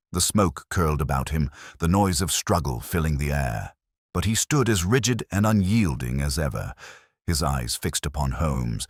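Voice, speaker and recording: Very deep-voiced male story narrator. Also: dry recording, clean studio sound. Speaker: audiobook narrator. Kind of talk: scripted first-person detective story narration.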